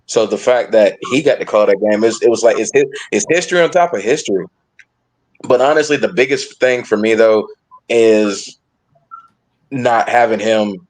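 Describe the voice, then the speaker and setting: Male sports podcast panelist, lower voice, casual and emphatic, stop-start phrasing with repeats. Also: darkish tone, poor audio.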